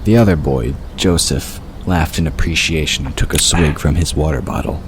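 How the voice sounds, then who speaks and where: A man's voice: extremely low pitch, moderate pace. A man reading scripted audiobook narration of a story. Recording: clear noise behind the voice. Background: ambient sound effects.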